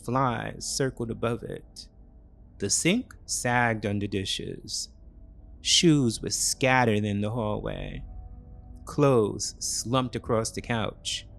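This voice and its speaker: A man narrating a scripted thriller story in short, deliberate, measured phrases. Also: on the low side, gravelly, a bit bright, faint music behind.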